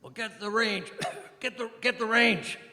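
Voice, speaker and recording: A man acts out an army artillery officer in a radio drama. His voice is high and piercingly forceful, snapping out clipped commands. It rings through a reverberant hall on a live stage. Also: a little slow, exaggerated voice. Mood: pressing, strained. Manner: clipped, barked military commands. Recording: echoey church hall, live performance.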